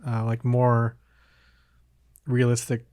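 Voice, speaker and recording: A man with a mid-pitched voice talks casually, like a podcast host. He feels his way through thoughts aloud, rambling and hesitant, in a very dry recording with no room sound. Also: monotone, slow pace, mellow, no room sound. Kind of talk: casual chat.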